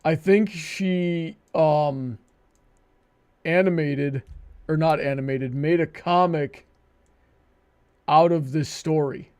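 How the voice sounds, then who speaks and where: Man, livestream host, slightly high voice, unhurried delivery, casual banter, thinking aloud. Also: a little mellow, clear, resonant tone.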